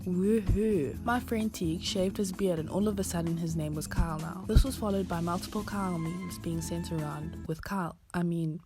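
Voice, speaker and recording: 18 yr old girl doing a vlog voiceover, kinda low voice, dry jokey delivery, music playing behind. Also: moderate pace, hardly any pauses. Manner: dry, self-mocking humor. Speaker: university student vlogger. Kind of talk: scripted voiceover narration.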